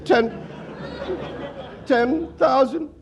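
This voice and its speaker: An actor playing a flustered, deferential office clerk who stammers, in 1940s radio comedy style. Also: male voice, very high voice, extremely slow, a faint crowd, slight background noise, heavily acted. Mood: flustered, stunned.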